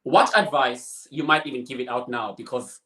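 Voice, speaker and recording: A man hosting an interview, asking measured, encouraging questions with pauses. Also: medium pitch, sharp tone, echoey room. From South Africa. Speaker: interview host. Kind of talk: conversational interview.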